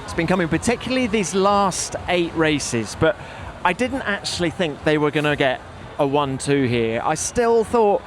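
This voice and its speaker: A man's voice: a little high, expressive, normal speed, a little hiss or hum. A man presenting a motorsport podcast as its host. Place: outdoors at race paddock.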